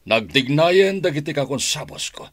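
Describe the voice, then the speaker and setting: A man's voice: a little high, expressive, normal speed, dry recording, clean studio sound. An actor with an Ilocano accent playing a ruthless, boastful male syndicate boss in a radio drama, domineering and emphatic. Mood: scheming, satisfied. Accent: Northern Philippine (Ilocano).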